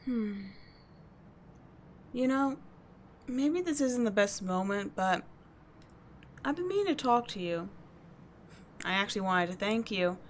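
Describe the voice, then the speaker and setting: A woman playing a tough but kind teenage delinquent schoolgirl in an audio roleplay, talking casually and bluntly to the listener, with swearing and halting, confiding asides. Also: mid-range voice, lively intonation, slight background noise. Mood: sincere, grateful.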